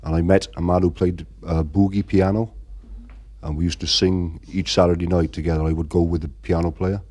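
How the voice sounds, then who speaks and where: A man's voice: deep voice, little pitch movement, fairly quick, darkish tone. A middle-aged man from Ireland, the band's frontman, talking in a casual interview and rambling with constant "you know" fillers. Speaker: band frontman.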